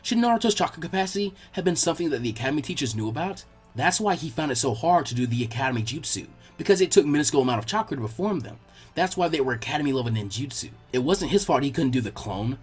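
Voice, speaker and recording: A man reading fan fiction aloud as an expressive scripted narration. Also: on the high side, fast, breathy edge, faint music behind.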